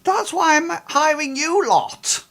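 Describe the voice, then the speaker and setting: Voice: high-pitched, slow, a bit bright, pitched above the performer's own voice, full character voice. A game master voicing a brusque woman who hired the team, exasperated and blunt.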